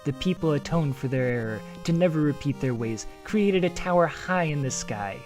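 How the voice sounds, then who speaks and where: Energetic man hosting a game translation. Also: a little high, normal speed, soft background music. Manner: lively, jokey, breaks off to comment with sudden excitement. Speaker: host and translator of a video game. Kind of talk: scripted commentary reading translated game text.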